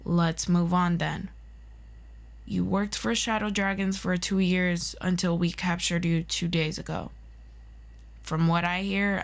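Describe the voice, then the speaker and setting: A woman voicing a composed, authoritative interrogator from a hero agency council, formal and dry, in an audio roleplay. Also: fairly low pitch.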